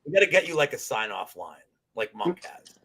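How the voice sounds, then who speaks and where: A man's voice: mid-range voice, big pitch swings, a little fast, vocal fry, sharp tone, breathy edge. A man in his forties hosting a casual betting podcast, joking in a loose, rambling way. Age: 40s. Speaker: podcast host.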